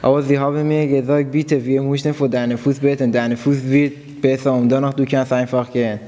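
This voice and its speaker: A man from Iran giving a personal testimony in halting, phrasing, pausing after each short part. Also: mid-range voice, little pitch movement, mellow. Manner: simple non-native German, halting phrasing. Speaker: church member giving testimony. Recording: long hall reverb.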